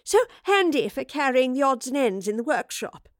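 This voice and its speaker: Woman doing a cheeky professor lady, bouncy lively voice, higher, dry close sound. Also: normal speed, no echo. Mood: playful. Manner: teasing, flirtatious, lively. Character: eccentric playful female time-travelling professor. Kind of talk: audiobook reading.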